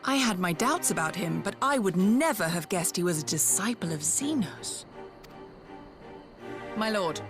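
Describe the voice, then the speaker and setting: A woman's voice: fairly low pitch, animated, unhurried, long pauses. A woman playing a wary, loyal retainer in a video game, formal and earnest. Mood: concerned. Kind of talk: dramatic video game cutscene acting. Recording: prominent music behind voice.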